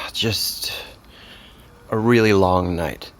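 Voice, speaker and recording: A man's voice: lowish voice, steady tone, a bit bright, full character voice. Distraught, blunt teenage boy. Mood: shaken, heavy. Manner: halting, sighing, swearing. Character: distraught teenage boy, blunt, guilt-ridden best friend. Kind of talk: naturalistic audio drama acting.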